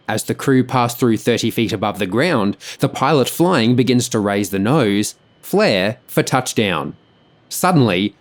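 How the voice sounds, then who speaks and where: A man reading scripted explanatory narration about an aviation incident. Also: mid-range voice.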